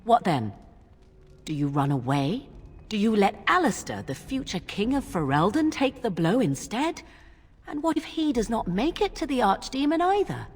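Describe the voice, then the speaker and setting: An actress playing a proud, guarded witch in a fantasy video game, speaking in formal, archaic phrasing with cool, deliberate persuasion. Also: fairly high pitch, very animated, breathy edge, long reverb tail, faint music behind, heavily acted. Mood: challenging, probing.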